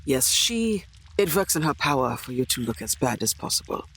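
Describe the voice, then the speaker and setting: Male game player: creaky, husky, careful and hesitant, improvising politely in character. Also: medium pitch, big pitch swings, moderate pace, a bit bright.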